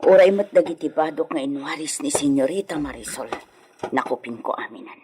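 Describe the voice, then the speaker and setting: An actress with a Filipino accent playing a timid, obedient household servant who speaks hesitantly in a radio drama. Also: female voice, sits low, lively intonation, fairly quick, background noise. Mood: dutiful. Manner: hesitant, stammering, meek.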